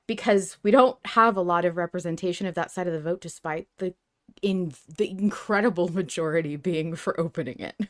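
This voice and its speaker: A woman hosting a fan podcast in casual, chatty, giggly conversation. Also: fairly low pitch. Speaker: podcast host.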